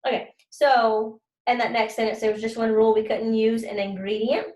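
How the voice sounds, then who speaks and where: A woman reads a story aloud as a teacher, pausing to explain her thinking informally. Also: a little high, level tone, darkish tone. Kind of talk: read-aloud with thinking-aloud commentary. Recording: echoey room.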